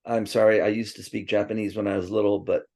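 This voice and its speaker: Gravelly-voiced man reminiscing. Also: lowish voice, flat delivery, fast, dark tone. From born in Princeton, raised partly in Tokyo. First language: Swedish. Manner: rambling, many restarts and asides. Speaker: interviewee. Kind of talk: casual reminiscing interview.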